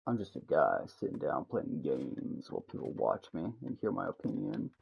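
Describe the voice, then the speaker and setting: Gravelly laid-back streamer guy. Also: deep voice, big pitch swings, moderate pace, muffled recording, a little hiss or hum. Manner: laid-back, short replies, swears casually. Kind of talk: casual chat on a game stream.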